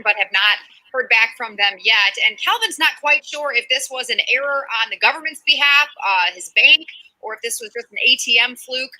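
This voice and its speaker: Crisp, bright-voiced woman news reporter. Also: fairly high pitch, no echo, rough recording. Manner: crisp, formal delivery.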